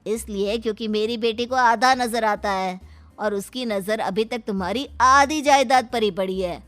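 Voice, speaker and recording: A woman voicing a scheming middle-aged father of a bride in a cartoon moral story, taunting and threatening with drawn-out emphasis. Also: a little high, fairly bright tone, close to an everyday voice. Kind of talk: animated moral story dialogue.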